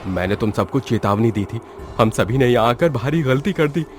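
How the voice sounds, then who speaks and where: A man's voice: medium pitch, lively intonation, normal speed, darkish tone, natural voice. An actor playing a frightened young man in a horror story, speaking in an urgent, exclamatory way. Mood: alarmed. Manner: exclamatory, urgent, panicky delivery. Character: frightened young man trapped in a haunted maze. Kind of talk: dramatised horror story acting. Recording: music under the voice.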